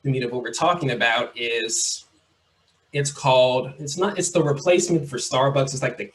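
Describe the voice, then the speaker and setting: A man's voice: medium pitch, normal speed. Young male podcast host from Mississippi, easygoing and conversational, faint noise underneath. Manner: relaxed, chatty, repeats phrases. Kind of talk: casual interview.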